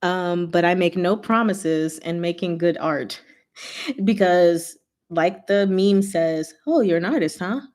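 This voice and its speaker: Female livestream host, slightly lower register, playful and animated, slangy off-the-cuff chat aimed straight at viewers. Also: slow pace. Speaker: livestream host and book reader.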